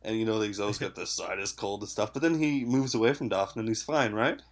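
A man from Canada co-hosting a casual podcast, chatty and quick to laugh, his voice stuffed up from a cold. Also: lowish voice. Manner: chatty, laughs often, rambling with fillers. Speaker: podcast host.

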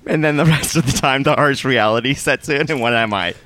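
A man hosting a podcast, chatting casually in an animated, joking way and laughing often. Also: mid-range voice, brisk, noisy background. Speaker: podcast host. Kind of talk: casual chat.

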